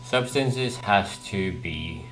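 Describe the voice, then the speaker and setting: A man's voice: deep voice, expressive, takes it slowly, music in the background, poor audio. A man commentating casually on a game as he plays, thinking aloud and repeating words to himself. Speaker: let's player.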